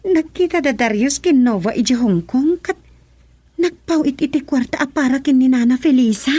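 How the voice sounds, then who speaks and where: An inquisitive young village woman in a radio drama. Her high voice is soft-edged, mellow and husky. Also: lively intonation, normal speed, no echo. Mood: surprised, probing. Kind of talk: Ilocano radio drama acting.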